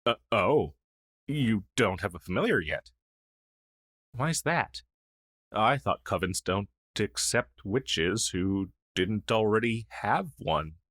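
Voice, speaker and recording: A man playing a gentle, wistful old crow familiar in an ASMR roleplay, speaking hesitantly and softly with sighs. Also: lowish voice, lively intonation, no echo, studio quality, exaggerated voice. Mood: curious, friendly. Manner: hesitant, halting, soft-spoken with sighs.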